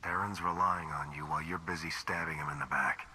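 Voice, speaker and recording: An actor playing a gruff, blunt hero in a science fiction game cutscene. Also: male voice, rumbling low, fairly even intonation, a little fast, pushed and bright, slight background noise, heavily acted. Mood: stern, accusing. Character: gruff, blunt male hero of a space saga. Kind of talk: video game cutscene acting. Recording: long reverb, music underneath.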